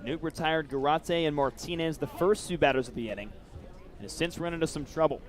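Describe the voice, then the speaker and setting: A man with a fairly high voice announces a baseball game live. He delivers play-by-play commentary, describing the action as it unfolds. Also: brisk.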